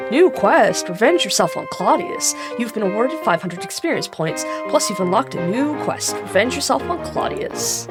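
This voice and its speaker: A woman reading an interactive gamebook aloud, breaking off into chatty, irreverent asides where she swears and laughs at herself. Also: on the high side. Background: background music.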